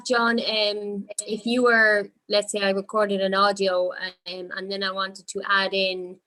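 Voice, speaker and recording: Bright-voiced woman asking questions. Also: medium pitch, level tone, unhurried, poor audio. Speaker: workshop participant. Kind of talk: informal questioning.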